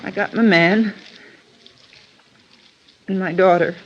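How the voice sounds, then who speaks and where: An actress playing an anxious, guarded middle-aged lunchroom keeper in an old radio drama, with a halting delivery. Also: female voice, mid-range voice, steady tone, lightly acted. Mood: guarded, weary. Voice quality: halting, stammering when nervous. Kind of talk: 1950s radio drama acting. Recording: muffled, noisy old radio recording.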